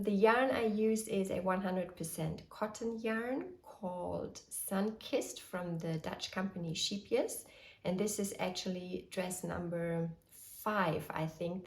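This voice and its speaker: A woman in her thirties hosting a knitting podcast, talking in a cheerful, detailed way and pausing now and then while still getting over a cold. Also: lowish voice. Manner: cheerful, detailed, slightly hesitant. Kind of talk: casual show-and-tell. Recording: echoey room.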